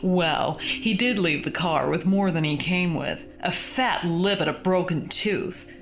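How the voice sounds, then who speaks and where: A woman's voice: fairly low pitch, measured pace. A woman playing a feisty, gossipy older hostess of a horror story show, chatty, arch and theatrical. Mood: indignant boasting. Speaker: show host. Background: music.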